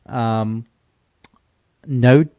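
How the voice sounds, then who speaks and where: A man with a stuffy, congested voice from a cold, co-hosting a casual sports chat in a slow, halting way with many fillers and restarts. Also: medium pitch, steady tone, smooth, no room sound, narrow, tinny audio. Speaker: podcast co-host.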